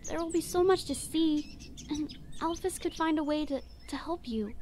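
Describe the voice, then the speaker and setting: A woman voicing a gentle, determined human child in a comic dub, earnest and pleading. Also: high-pitched, moderate pace, a little hiss or hum, close to an everyday voice. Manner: soft-hearted, earnest, pleading.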